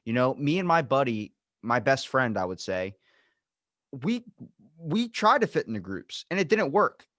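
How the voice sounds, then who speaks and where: A man's voice: medium pitch, unhurried, no echo. Young man chatting loosely, close dry mic. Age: young adult. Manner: loose, profane, animated, acts out little scenes. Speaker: podcast host. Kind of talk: casual podcast chat.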